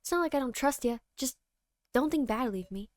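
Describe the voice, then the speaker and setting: A woman's voice: high voice, lively intonation, brisk, no room sound. Woman voicing an easygoing, flirty man. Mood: apologetic.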